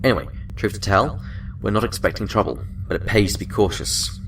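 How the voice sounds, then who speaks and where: A senior space fleet officer, played by a man, speaks in a measured, formal and wry way. His voice is fairly low and sits off the mic with faint noise. It is a science-fiction audio drama role. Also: fairly bright tone, recorded from a distance.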